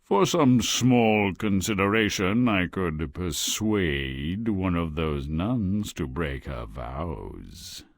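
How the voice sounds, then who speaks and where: Tempting devil, fairy-tale game, gravelly, swooping, insinuating, sly. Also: male voice, deep voice, animated, takes it slowly, hardly any pauses, no room sound, full character voice.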